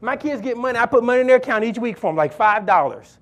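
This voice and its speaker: A pastor with a strikingly high voice for a man preaches to his congregation. He drives points home by repeating phrases and urging listeners directly. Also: animated, fast talker. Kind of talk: sermon.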